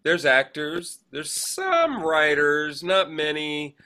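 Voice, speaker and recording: A man with an American accent hosting a casual chat, animated and given to acting out quoted remarks. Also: fairly high pitch, takes it slowly. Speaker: podcast host, actor and director.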